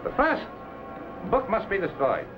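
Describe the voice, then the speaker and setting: A scheming Chinese villain in a vintage serial, high-pitched and coldly polite. His formal, clipped phrases swing widely in pitch. The soundtrack is muffled, with music underneath. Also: wildly swooping pitch, moderate pace. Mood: menacing. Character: scheming, falsely courteous male Chinese villain, coldly menacing. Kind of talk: 1950s adventure serial acting.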